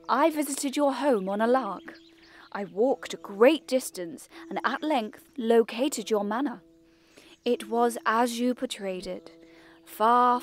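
A woman's voice: fairly high pitch, lightly acted. A woman playing an earnest, genteel young bride-to-be in a storybook audio drama, speaking formally and theatrically. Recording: music behind the voice.

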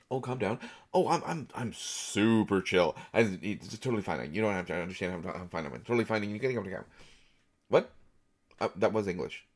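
Man playing a jittery, stammering actor, wildly swooping pitch. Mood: giddy, nervous. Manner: stammering, breathless rambling, repeating words. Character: jittery, overexcited male actor, over-caffeinated and anxious. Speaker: online audio roleplay performer. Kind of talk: solo audio roleplay acting, one side of a conversation.

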